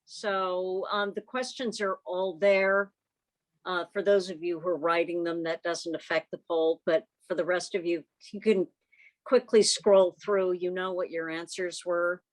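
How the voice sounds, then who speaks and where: A woman co-hosting an online club meeting, speaking informally and haltingly as she handles introductions on a video call. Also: on the low side, level tone, poor audio.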